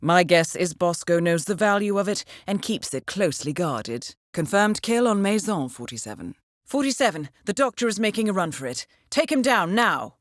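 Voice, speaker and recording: A woman playing a composed, dry-witted intelligence handler in a video game, speaking in a measured, formal, understated way as she briefs an assassin. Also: mid-range voice, animated, dry recording, lightly acted. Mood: businesslike, urgent. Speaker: mission handler. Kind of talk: scripted video game voice acting, mission briefing.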